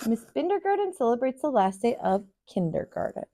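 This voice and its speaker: An American woman in her thirties, a teacher reading a picture book aloud to children in an expressive, sing-song way. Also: fairly high pitch, a little fast. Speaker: kindergarten teacher, storyteller. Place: small furnished room, indoors.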